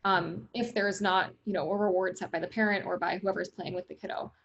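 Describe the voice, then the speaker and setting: A woman with a loud voice, speaking as a company guest in an interview, upbeat, laughing often and leaning on "right?" and "you know.". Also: lowish voice, steady tone, quick pace, vocal fry, muffled recording. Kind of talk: conversational interview.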